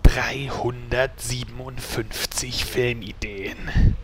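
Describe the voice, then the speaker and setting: A man playing a grumpy, exasperated boss with a menacing deadpan, in a German comedy audio drama. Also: medium pitch, takes it slowly, music in the background, natural voice. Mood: exasperated, threatening.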